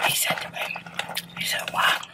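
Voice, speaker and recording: A woman's voice: fairly high pitch, huge pitch swings, normal speed. A woman in her forties whispering a personal dating story in a chatty way, with lots of fillers.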